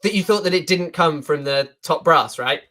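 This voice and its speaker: A man's voice: on the high side, normal speed, bright, forceful tone. A man arguing as a guest in a heated online debate, smug and sarcastic, talking over the other side.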